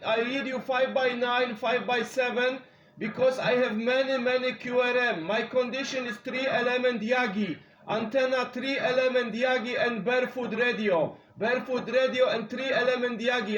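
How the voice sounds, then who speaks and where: Male CB radio operator, native Polish speaker with Polish accent, extremely high voice, repetitive procedural calls, roomy echoing sound. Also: steady tone, normal speed, a bit bright, lo-fi sound. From Poland. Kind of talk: radio contact calls in short repeated phrases.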